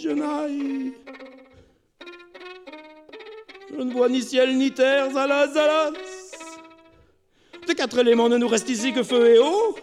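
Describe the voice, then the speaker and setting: A man's voice: very high-pitched, a little mellow, heavily acted. A man voicing a cowardly, whimpering figure who babbles, stammers and pleads, in theatrical storytelling. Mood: blubbering fear. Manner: wailing, blubbering, stammering nonsense syllables.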